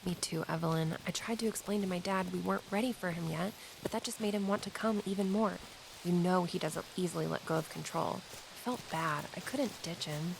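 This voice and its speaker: Laid back witchy young woman, creaky voice, bit of noise behind, audio drama. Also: fairly low pitch, fairly quick. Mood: apologetic. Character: Avery, breezy mystical shop worker, exasperated daughter.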